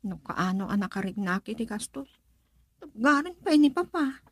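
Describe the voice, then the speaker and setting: Young ilocano niece, radio drama, husky but highish, shy n hesitant, dry close mic. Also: female voice, sing-song, normal speed, dry recording. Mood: apologetic, polite. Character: polite, hesitant young niece confiding in auntie.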